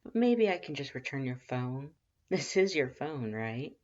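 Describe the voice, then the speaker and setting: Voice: mid-range voice, sing-song, unhurried, a little mellow, voice pushed up. A reader voicing a flirtatious stranger in a fan story, lilting and purring, almost sing-song. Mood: teasing. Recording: dull lo-fi recording.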